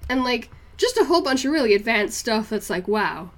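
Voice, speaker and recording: Young woman, higher-pitched, hybrid English-Kiwi accent. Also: big pitch swings, a little fast. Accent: hybrid northeast English and New Zealand. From northeast England, moved to New Zealand as a child. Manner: rambling, giggly, informal. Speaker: art livestreamer. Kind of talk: casual livestream chat with viewers.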